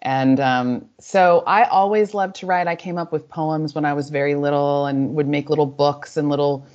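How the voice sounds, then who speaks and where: A woman in her forties with a deep, low voice, a native Spanish speaker, answers interview questions with warm, reflective storytelling. She often restarts her sentences as she thinks aloud. The audio is slightly dull and lo-fi, like a remote call. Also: fairly even intonation, moderate pace. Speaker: author and guest.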